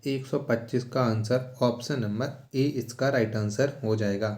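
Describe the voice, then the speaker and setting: A man's voice: medium pitch, darkish tone. Man, exam-prep teacher, question-and-answer delivery, repetitive rhetorical prompts, roomy echo. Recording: echoey room sound.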